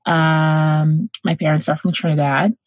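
A young woman from Central Florida talks as a podcast guest, easygoing and full of "like" and "um". Her voice sits low for a woman. The sound is dry and close, with no room echo. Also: unhurried, lo-fi sound. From Central Florida, United States. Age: young adult. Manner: relaxed, lots of "like" and "um", backchannels often. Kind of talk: casual conversational interview.

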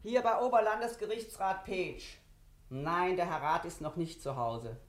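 An indignant, gossipy radio-play housekeeper. Her fairly low voice moves in lively swings as she fusses and exclaims. She sounds self-important and talkative. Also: unhurried, slight background noise. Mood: flustered, grumbling. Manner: fussy, exclamatory, chatty. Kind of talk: German radio play acting.

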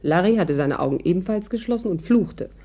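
A woman's voice: lowish voice, expressive, a little fast, warm tone. An actress playing a witty, spirited, worldly woman in a 1950s radio drama, wry and articulate as she narrates and talks. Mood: lively storytelling.

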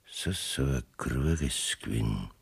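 Gravelly, blustering Bavarian village judge. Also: male voice, rumbling low, expressive, a little slow, heavily acted. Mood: uneasy, probing. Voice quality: gravelly, husky. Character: battered, uneasy, crafty village judge. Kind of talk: 1970s dialect radio play acting.

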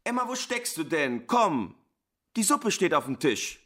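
A man's voice: a little high, big pitch swings, unhurried, bright, forceful tone, heavily acted. A man playing a good-natured, unsuspecting husband in a radio drama, chatty and homely in manner. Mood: cheerful calling.

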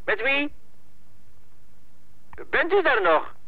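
A man's voice: very high voice, big pitch swings, very slow, full character voice. An actor playing a curt, impatient man asking questions in a radio drama. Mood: puzzled. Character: brisk, impatient man dealing with a stutterer. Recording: narrow, muffled radio drama sound.

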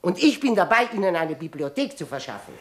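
Fiery old revolutionary woman, swooping pitch. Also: very animated, brisk. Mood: fervent, scolding. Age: older. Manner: declamatory, scolding, exclaiming. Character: fiery old woman revolutionary zealot, self-styled warhorse. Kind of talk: German radio drama acting.

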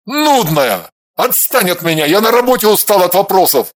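A man voicing a jealous, hot-tempered husband who snaps and shouts at his wife. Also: high-pitched, very animated, normal speed. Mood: furious. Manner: shouting, snapping, growling.

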